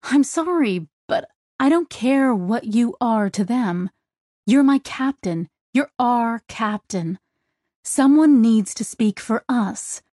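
A woman's voice: fairly high pitch, expressive, slow, mellow, no room sound, studio quality, pitched above the performer's own voice. A woman voicing a tearful, furious young crewwoman. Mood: upset. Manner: tearful, fierce. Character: young female ship crew member, grieving and angry.

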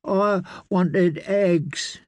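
A man's voice: high voice, steady tone, a little mellow, no room sound, voice pushed up. A man voicing a sulky, sickly little boy lord who whines and draws out his complaints. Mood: whining. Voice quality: whiny, drawn-out complaining.

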